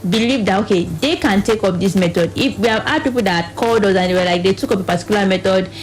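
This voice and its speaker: A woman's voice: medium pitch, quick pace, rough recording. Nigerian woman explaining, faint music behind. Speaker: reproductive health advocate, studio guest. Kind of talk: radio interview, explaining.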